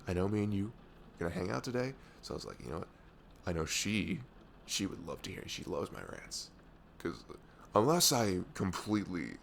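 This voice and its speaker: Man playing a low-voiced, rambling nerdy best friend. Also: creaky, fairly bright tone, slight background noise, full character voice. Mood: sheepish, apologetic. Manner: rambling, hesitant, self-deprecating, chuckling, informal. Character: nerdy male best friend, young, affectionate, excitable gamer. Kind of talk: intimate ASMR roleplay, casual rambling monologue.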